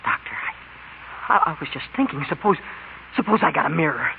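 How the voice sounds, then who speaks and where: Fast, high-voiced earnest boy. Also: lively intonation, vocal fry. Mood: urgent, pleading. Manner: pleading, breathlessly eager, stammering. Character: earnest, impulsive teenage boy, devoted son. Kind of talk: 1940s radio acting. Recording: tinny, noisy 1940s radio recording.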